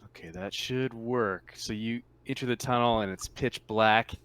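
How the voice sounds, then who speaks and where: A man speaking casually and haltingly as game master of an online tabletop roleplaying session. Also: mid-range voice, steady tone, fairly bright tone, clear, resonant tone.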